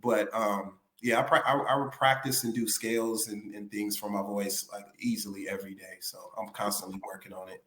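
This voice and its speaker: Raspy guy singer, kinda low voice, rambles w lots of you know what i mean, roomy echo. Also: flat delivery, fairly quick, hardly any pauses, fairly bright tone. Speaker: singer being interviewed. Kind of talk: casual interview chat.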